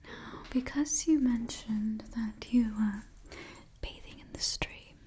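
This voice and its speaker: A woman in her twenties doing an ASMR roleplay in a soft, breathy whisper, close to the mic, soothingly repeating her phrases. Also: on the high side, takes it slowly. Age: 20s. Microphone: close-up ASMR microphone.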